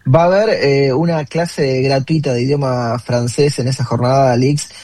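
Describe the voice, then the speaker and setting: A man's voice: mid-range voice, fairly even intonation, moderate pace, warm tone, no echo. Argentine male interviewer, dry close sound. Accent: Rioplatense Argentine. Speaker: interviewer, host. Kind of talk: conversational radio-style interview.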